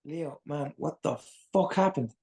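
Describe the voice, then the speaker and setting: An actress in a dramatic table read playing a panicked, incredulous person, stammering and exasperated. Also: female voice, low voice, a little slow, mellow. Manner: stammering, exasperated, foul-mouthed.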